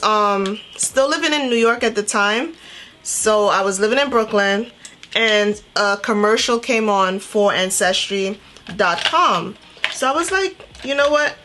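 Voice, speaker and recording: A woman with a mid-pitched voice talks as a beauty vlogger from Trinidad and Tobago. She tells her story casually and conversationally, acting out bits of dialogue as she goes. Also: a bit bright, slight background noise. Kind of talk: casual chatty storytelling while doing makeup.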